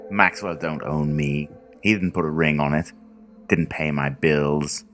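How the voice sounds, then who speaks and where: A man's voice: a bass voice, fairly even intonation, unhurried, fairly bright tone, faint music behind. A man voicing a sly, mocking devil with plenty of sass in a radio play. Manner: mocking, sassy. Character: sly, sassy male devil, huge and mocking.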